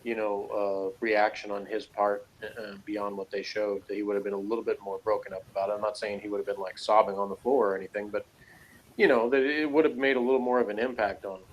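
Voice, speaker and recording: A man's voice: on the low side, fairly even intonation, quick pace, a little hiss or hum, rough recording. A man talking through a TV review in a casual, analytical way, hesitant and rambling as he works out his points. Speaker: co-host reviewing a TV series.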